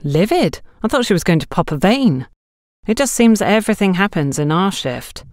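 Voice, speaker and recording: A woman plays a young female shop assistant in an audiobook, indignant and anxious as she gossips. The voice sits at a middle pitch in a dry recording. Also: sing-song, normal speed, darkish tone, dry recording, lightly acted. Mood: embarrassed, indignant. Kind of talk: audiobook character dialogue.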